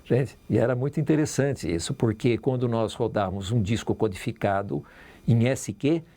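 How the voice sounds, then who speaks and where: A man's voice: medium pitch, moderate pace, dark tone. A man with a Brazilian accent reminiscing informally in an interview, as a radio veteran.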